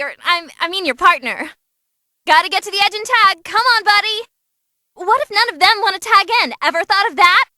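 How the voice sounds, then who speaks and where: A woman voicing a bubbly, bloodthirsty young female tournament announcer in a video game, commenting on fights with gleeful, teasing theatrical excitement. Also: very high-pitched, no echo, clean studio sound, full character voice.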